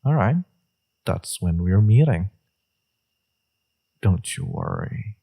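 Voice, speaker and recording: Man acting a husky, slow, seductive villain. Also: low voice, wildly swooping pitch, mellow, full character voice. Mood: smug, flirtatious. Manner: teasing, self-assured, unhurried. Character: smug, seductive male villain, teasing his enemy. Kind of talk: romantic audio roleplay, intimate monologue.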